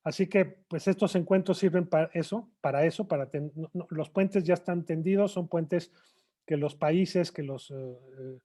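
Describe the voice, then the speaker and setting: A man delivers closing remarks in a mellow, dark tone, pitched a little high for a man. He pauses on fillers and repeats words while searching for phrasing. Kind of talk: closing speech at a webinar.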